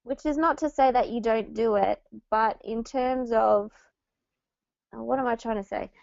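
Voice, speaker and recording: A woman's voice: a little high, moderate pace, gravelly, darkish tone, no echo, muffled recording. A woman co-presenting a reflective personal discussion, tentatively searching for her words. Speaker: church ministry co-presenter.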